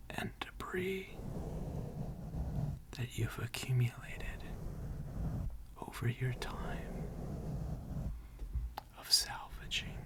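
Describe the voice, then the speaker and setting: A soothing, methodical mechanic who looks after robots, played by an ASMR roleplayer. The voice is a soft, breathy whisper at a medium pitch. Words come very slowly, in careful, formal phrases with a calm and attentive manner. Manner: slow, deliberate, soothing, formal. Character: gentle, attentive robot mechanic tending a salvager robot.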